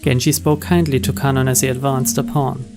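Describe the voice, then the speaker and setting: A man's voice: mid-range voice, little pitch movement, a little fast. Man narrating over music and rain. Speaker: narrator reading game text aloud. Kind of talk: scripted story narration.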